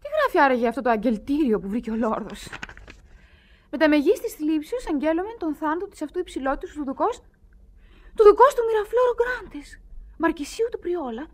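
A woman's voice: high-pitched, animated, a little mellow. A woman playing a curious, impulsive young woman in love in a radio play, dramatic and inquisitive. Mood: puzzled. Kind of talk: Greek radio theatre acting.

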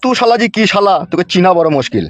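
A man's voice: high-pitched, very animated, racing, no room sound. A man voicing an exasperated, argumentative husband and father in a comedy cartoon. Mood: irritated. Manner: blustering, argumentative, swearing. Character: foul-mouthed, exasperated husband and father, blustering and defensive. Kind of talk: Bengali comedy cartoon dubbing.

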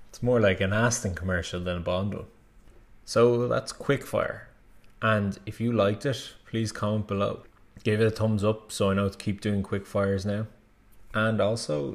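A man's voice: lowish voice, gravelly. A young man in his twenties hosting a casual vlog indoors, chatty and enthusiastic. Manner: chatty, enthusiastic, laughs at own asides. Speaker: channel host. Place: indoor room, seated at a table.

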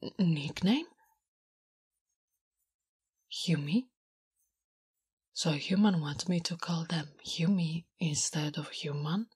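A woman voicing a big, blunt, smitten and naive female orc in whispered, halting broken English for an ASMR roleplay. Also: low voice, a little mellow. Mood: curious, hesitant. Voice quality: breathy, whispery. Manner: halting broken English, speaks of herself in third person. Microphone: close-up ASMR microphone. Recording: close, intimate microphone.

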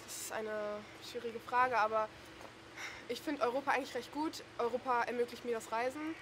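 A woman's voice: on the high side, fairly even intonation, moderate pace. A young woman answering a reporter's questions outdoors into a handheld mic, friendly and thoughtful. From Spain. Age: young adult. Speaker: interviewee on the street. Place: outdoors in a town square. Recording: outdoor street noise.

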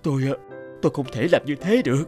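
An actor playing a gentle, grieving young father from a village in a cartoon, earnest and pleading. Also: medium pitch, animated, fast, natural voice. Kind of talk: cartoon dub acting. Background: background music.

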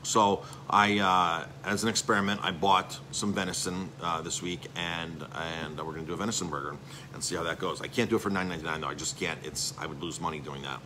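A man's voice: sits low, steady tone, a little fast, noisy background. A middle-aged man, a chef and restaurant owner, giving a rambling, salesy live-stream update with lots of filler words.

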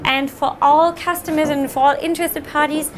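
Woman presenting software at a meetup. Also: sits high, steady tone, normal speed, a little hiss or hum. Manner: friendly, fluent, frequent ums. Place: meetup room with audience.

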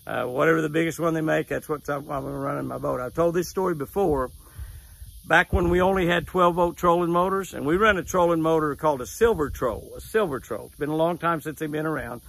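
A man's voice: medium pitch, vocal fry, a bit bright, husky. An older man, telling folksy stories outdoors and repeating key phrases as he gives a devotional and a fishing tip. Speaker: devotional host and angler. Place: lakeside lawn outdoors at sunrise.

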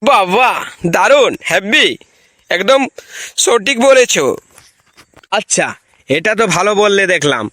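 A man's voice: high-pitched, animated, measured pace, pauses often, a bit bright, dry recording. A man voicing an easily amazed, effusive headmaster in a comedy cartoon, exclaiming and repeating himself in a rural West Bengal accent. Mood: delighted. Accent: rural West Bengal (Bankura). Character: gushing, easily amazed visiting male headmaster. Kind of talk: comic cartoon voice acting.

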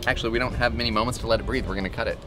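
A man's voice: mid-range voice, steady tone, rapid-fire. A man in his thirties hosting a casual cooking show, bantering in a joking, self-deprecating way. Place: outdoor covered patio griddle kitchen. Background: music, food sizzling.

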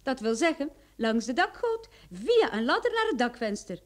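A bold female private detective in a radio drama. Her voice is high and very animated, and she teases with playful self-assurance. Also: moderate pace. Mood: confident. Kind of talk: Dutch radio play acting.

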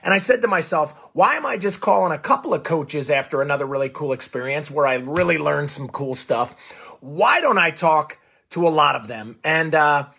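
Raspy, fired-up man hosting live. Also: a little high, normal speed. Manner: fired-up, animated, gestures a lot. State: hoarse from coaching all day. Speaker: coach and show host. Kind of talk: energetic Facebook Live monologue. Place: small indoor room. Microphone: phone close to the face.

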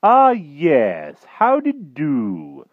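A man voicing a flustered, excitable, old-fashioned gentleman king in a storybook, politely exclaiming with posh verbal tics. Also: fairly high pitch, very slow, no room sound, narrow, tinny audio, voice pushed up, full character voice. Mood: nervous.

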